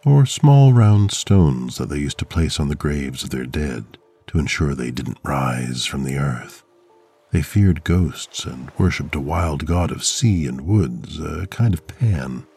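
A male narrator voicing a father who tells eerie old lore to his children, slow and ominous. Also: extremely low pitch, expressive, mellow, husky, faint music behind, pitched below the performer's own voice, exaggerated voice. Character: stern father telling eerie local lore.

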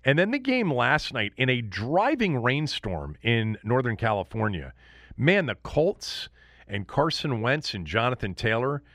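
A man's voice: medium pitch, fairly bright tone, no room sound, studio quality. A man hosting a sports podcast, giving casual, opinionated recaps in an emphatic, conversational way. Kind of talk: casual sports recap commentary, talking solo to listeners.

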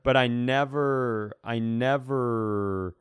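A man chats casually as a comedy podcast co-host. His voice is smooth and clear at a middle pitch, recorded dry and close. He rambles through explanations in an animated, mock-serious way. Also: drawn-out words, no room sound.

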